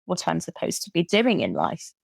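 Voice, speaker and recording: British woman, low voice, gravelly edge, enthusiastic, dry close sound. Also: wildly swooping pitch, moderate pace, dry recording. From Britain. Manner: enthusiastic, repeats words for emphasis. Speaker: guest, burnout recovery coach. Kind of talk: casual interview chat.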